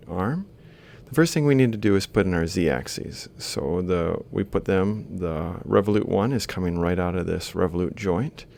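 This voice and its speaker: Guy teaching a class, deep voice with lots of vocal fry, talking close into a desk mic. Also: a little mellow. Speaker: university robotics lecturer. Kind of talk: instructional lecture, explaining worked examples. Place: small book-lined office, indoors. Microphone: large desk microphone close to the mouth.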